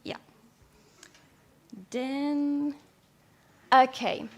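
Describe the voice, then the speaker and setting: Young finnish woman giving a conference talk, bit high voice, lots of uhs. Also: flat delivery. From Finland. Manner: measured, with frequent fillers. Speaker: presenter. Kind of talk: conference presentation.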